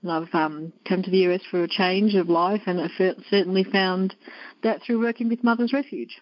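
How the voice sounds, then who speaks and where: A woman with an Australian accent, speaking as an education coordinator in a podcast interview, explaining her work in an earnest, measured way. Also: mid-range voice, steady tone, no echo, muffled recording. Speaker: education coordinator at a maternity home.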